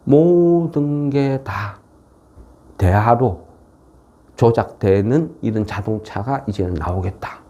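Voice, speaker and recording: A man's voice: mid-range voice, lively intonation, slow pace, dark tone, lo-fi sound. A man with a regional, non-Seoul South Korean dialect giving an informal explanatory market lecture in a folksy way, often tagging on rhetorical questions. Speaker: stock market commentator.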